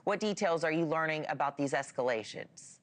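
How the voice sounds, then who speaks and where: Female news anchor, American accent, slightly low register, presenting breaking headlines and live handovers. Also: fairly quick.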